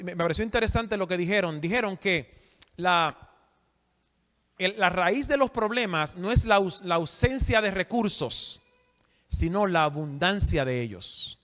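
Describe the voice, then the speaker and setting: Man in his forties, preacher, high voice, emphatic, reverberant hall. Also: fairly bright tone. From Dominican Republic. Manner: asks the audience questions, emphatic. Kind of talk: sermon teaching.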